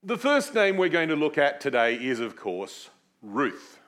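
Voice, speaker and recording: A male preacher gives a teaching sermon in a conversational, explaining style. His voice is lively, with wide swings in pitch and lifts on the words he stresses. He keeps it informal with asides that make light of himself. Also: a little slow. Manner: animated, swooping emphasis on key words; chatty, with self-deprecating asides. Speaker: preacher teaching a series on a Bible book. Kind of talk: sermon with Bible teaching and prayer.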